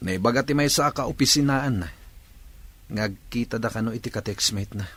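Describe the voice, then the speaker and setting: A man's voice: mid-range voice, moderate pace, a bit bright, breathy edge. A man playing a dejected young boyfriend confiding his troubles in a radio drama. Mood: troubled.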